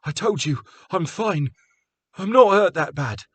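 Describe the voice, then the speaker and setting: A bloodied village man, shaken but stubborn, forcing his words out high and tight, as a narrator puts him on. The voice is bright and strained, far above the narrator's own deep tone. The sound is dry and close. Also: animated, normal speed, no room sound. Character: wounded, shaken village man insisting he's fine.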